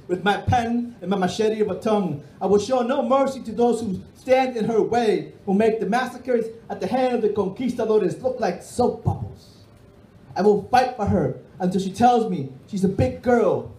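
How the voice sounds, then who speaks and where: A middle-aged man from Peru performing spoken-word poetry on a dark bar stage into a stand microphone, with a rhythmic, emphatic delivery. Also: high voice, moderate pace. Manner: rhythmic, emphatic delivery with sweeping hand gestures. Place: dark stage of a bar and music hall, indoors. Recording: roomy hall sound.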